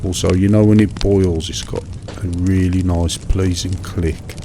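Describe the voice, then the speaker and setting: A middle-aged British man in a monotonous, soothing one-sided chat, hesitant and rambling, repeating himself over mundane small details. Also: low voice, unhurried. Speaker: host of a sleep relaxation show. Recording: steady background noise, fireside crackle.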